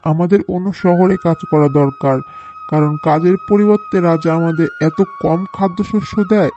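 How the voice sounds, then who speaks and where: A man's voice: high voice, mellow, highs cut off. A man voicing a poor, respectful, anxious young son in a cartoon moral story, earnest and pleading.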